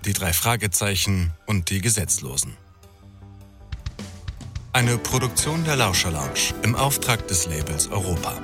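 A man reading scripted programme credits as an announcer. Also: lowish voice.

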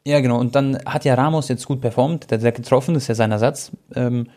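A man's voice: mid-range voice, fairly even intonation, quick pace, dark tone. A young man co-hosting a casual football chat in colloquial, slangy youth speech. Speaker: football talk co-host.

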